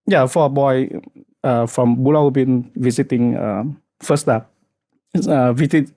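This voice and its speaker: A man in his thirties from Vietnam with a Vietnamese accent, talking earnestly on a podcast with a halting flow and many fillers. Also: mid-range voice, warm tone, no room sound, studio quality. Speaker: podcast guest, founder. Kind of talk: casual podcast chat.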